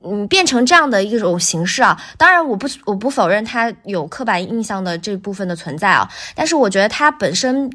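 A woman with a thin, bright, lively voice, talking casually as a voice actor guest on a podcast, explaining things in an animated way. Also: mid-range voice, brisk, hardly any pauses.

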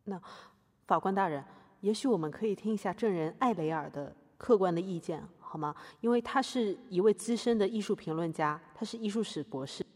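A woman's voice: medium pitch, fairly quick, a little mellow, husky, natural voice. A woman playing a pretentious, self-regarding conceptual artist who speaks in lofty jargon as a defendant in a satirical courtroom audio drama. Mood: lofty, wounded. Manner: pompous, hesitant fillers, lofty jargon. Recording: reverberant hall sound.

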